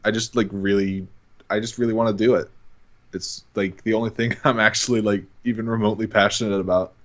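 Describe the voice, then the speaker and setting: Young guy, lowish voice, casual podcast guest, hedges a lot and keeps restarting. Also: fairly even intonation, brisk, lo-fi sound. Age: young adult. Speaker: guest amateur voice actor on a podcast. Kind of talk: casual chat.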